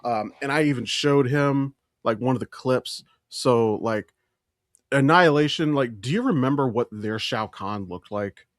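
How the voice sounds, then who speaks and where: A man's voice: mid-range voice, measured pace, no room sound, clean studio sound. A man co-hosting a podcast in casual pop-culture chat, emphatic and opinionated, swearing freely. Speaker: podcast co-host.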